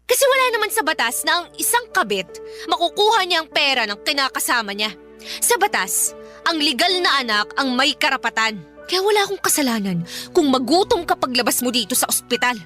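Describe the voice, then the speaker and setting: A woman's voice: high voice, sing-song, fairly quick, bright, forceful tone, faint music behind, heavily acted. A Filipino woman playing a vengeful young daughter in a radio drama, taunting her father's mistress with sarcasm and scorn. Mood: scornful taunting.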